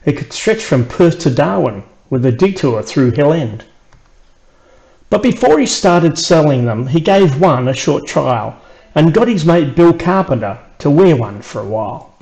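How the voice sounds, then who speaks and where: A man with an Australian accent narrating a rhymed children's story in an expressive storyteller's way. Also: on the high side, measured pace, poor audio. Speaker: author reading own children's book.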